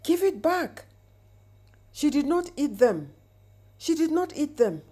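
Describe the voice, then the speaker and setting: A woman's voice: high-pitched, very animated, slow pace, warm tone, voice pushed up, full character voice. Woman as a scheming, emphatic male villain. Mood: frantic screaming. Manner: exclaiming, emphatic. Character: sinister, scheming brother-in-law hiding greed behind smiles.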